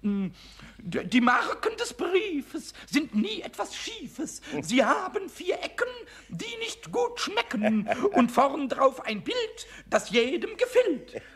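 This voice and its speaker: An actor voicing a small, cheeky, excitable kobold in a children's radio play, with a squeaky, husky croak and stammering, singsong talk. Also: slow, heavily acted. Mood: rhyming, proud. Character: small impish kobold, playful, cheeky, rhyme-loving.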